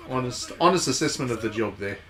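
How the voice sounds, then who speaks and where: A man with a mid-pitched voice streams a game with casual, jokey commentary. His delivery is wry and conversational, like off-the-cuff asides. There is some faint noise behind him. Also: lively intonation, quick pace, fairly bright tone. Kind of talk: casual live commentary on a visual novel, reading dialogue aloud.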